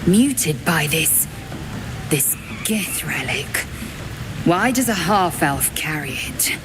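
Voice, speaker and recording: Casting: a haughty, suspicious female warrior, low-voiced and slow, over noisy rain. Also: lively intonation. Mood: suspicious, stern. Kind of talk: video game dialogue acting. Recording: noisy rain and storm ambience.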